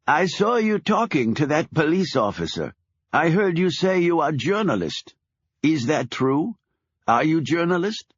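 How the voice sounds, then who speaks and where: A man voicing a timid, tearful Polish immigrant man who speaks halting broken English with a Polish accent, in an audiobook. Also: fairly high pitch, big pitch swings, husky, no echo, dull audio, voice pushed up, full character voice.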